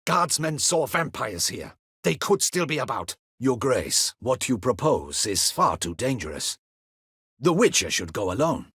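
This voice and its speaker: An actor playing a stern, dutiful captain of a ducal guard in a fantasy video game, with formal, clipped, courtly military diction. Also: male voice, medium pitch, big pitch swings, unhurried, a bit bright, husky, no echo, studio quality, full character voice. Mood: firm, dutiful.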